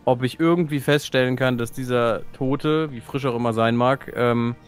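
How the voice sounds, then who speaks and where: Guy playing pen and paper, casual talk, middle pitch, bit of music behind. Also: normal speed, clean tone. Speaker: tabletop role-playing player. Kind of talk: casual tabletop role-play chat.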